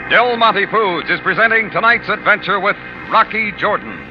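A man's voice: high-pitched, normal speed, music in the background. A man announcing and selling in polished, emphatic 1940s radio commercial style. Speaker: radio announcer reading sponsor ads. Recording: muffled vintage radio recording.

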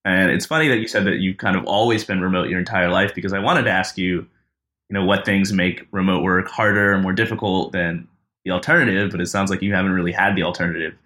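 Deep-voiced podcast host, relaxed. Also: male voice, quick pace. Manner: relaxed, conversational questioning. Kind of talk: casual interview.

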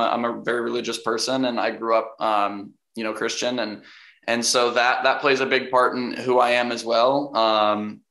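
A young man, an actor, answering interview questions casually, hesitant and full of restarts, with a fairly deep voice. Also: pushed and bright. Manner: hesitant, many restarts and fillers, laughs easily. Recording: roomy echo.

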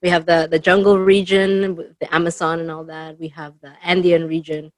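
Peruvian-raised woman telling stories, lots of you knows, low pitch, no room echo. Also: flat delivery, moderate pace. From Peru. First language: Spanish. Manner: chatty storyteller, many fillers. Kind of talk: casual interview answers. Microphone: video call.

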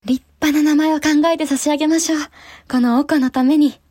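Woman playing a high-voiced devoted samurai wife. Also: fairly even intonation, fairly quick, dark tone, dry recording, clean studio sound, lightly acted. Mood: proud, tender. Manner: polite, formal period speech. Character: young samurai wife and new mother, devoted, resolute. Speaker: voice actor. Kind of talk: Japanese historical audio drama acting.

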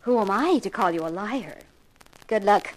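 An actress playing a poised, coy young femme fatale, teasing and arch, in 1950s radio drama style. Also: female voice, medium pitch, expressive, moderate pace, natural voice, rough recording.

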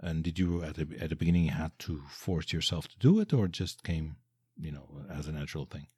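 Interviewer: a man with a deep, husky voice and a non-native English accent. Rambling and eager. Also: brisk, warm tone, dry recording, clean studio sound. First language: not English. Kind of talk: interview conversation.

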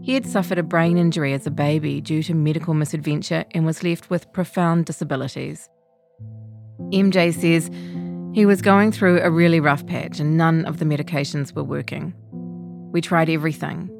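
A woman's voice: deep voice, fairly even intonation, moderate pace, creaky, over music. New woman narrating a scripted feature. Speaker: reporter.

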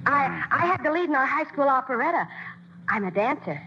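A woman's voice: sits high, sing-song, brisk, background noise. A timid young dancer girl. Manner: timid, hesitant. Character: shy young girl, a hopeful chorus dancer. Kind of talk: 1940s radio acting. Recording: dull old radio broadcast.

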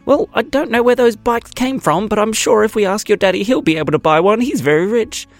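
A man's voice: high-pitched, brisk, no echo, soft background music, voice pushed up. A man voicing a doting, boastful mother in a children's story, speaking indulgently. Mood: indulgent, proud.

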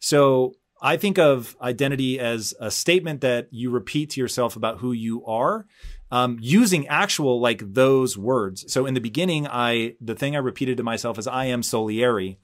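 A man's voice: mid-range voice, moderate pace. A man hosting an interview show, speaking in an articulate, inquisitive way. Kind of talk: conversational interviewing.

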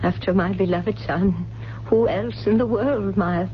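An actress playing a wealthy, fragile older widow, grieving and forgetful, with genteel, theatrical delivery in a 1970s radio drama. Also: female voice, medium pitch, moderate pace, lightly acted. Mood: resigned, wistful. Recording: dull, noisy, humming old radio recording.

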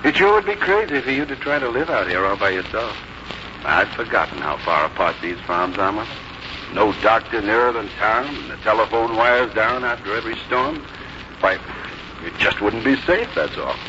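An actor playing an affectionate, easygoing grown son from a farm family, with an American accent and boyish, folksy manner, in a 1950s radio drama. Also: male voice, fairly low pitch, expressive, moderate pace, lightly acted. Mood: worried. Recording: muffled, noisy old radio broadcast recording.

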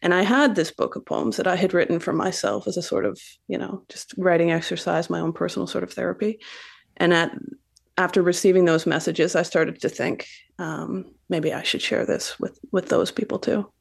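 Woman, lots of fry in her voice, writer being thoughtful and literary. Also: on the low side, steady tone, moderate pace. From Canada. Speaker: poet and novelist guest. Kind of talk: thoughtful interview talk and poetry reading.

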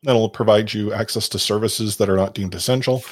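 A man's voice: fairly low pitch, fairly even intonation, fairly quick, slight background noise. A man hosting a casual esports podcast, rambling and self-deprecating with many fillers and restarts. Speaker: podcast host.

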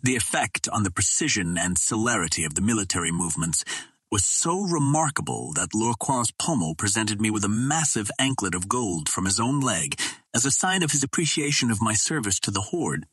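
A man narrates classic adventure fiction in a low voice with a husky, slightly rough grain. His delivery is measured and formal, like scripted literary storytelling, and the recording is close and dry. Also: sing-song, a bit bright, no echo. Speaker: audiobook narrator.

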